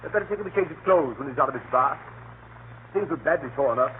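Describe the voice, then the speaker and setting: An actor playing a jovial, scatterbrained country gentleman with a hearty, blustering manner in a 1930s radio drama. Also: fairly high pitch, expressive, fast, close to an everyday voice. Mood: hearty, chatty. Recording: tinny, lightly crackling 1930s radio broadcast.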